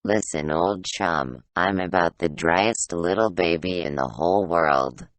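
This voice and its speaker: A man voicing a cranky, whiskey-craving ancient old man in a baby's body, grumbling in a folksy, gravelly voice for an audio play. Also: low voice, unhurried, fairly bright tone, no echo. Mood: grumbling, pleading. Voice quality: gravelly, rusty voice. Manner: grumbling, folksy, wheedling complaints. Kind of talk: audio play character acting.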